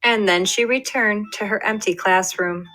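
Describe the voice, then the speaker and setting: A woman's voice: medium pitch, normal speed, sharp tone, faint music behind, low-quality recording. A young adult woman, a first grade teacher, reading a picture book aloud to her class and talking to her students.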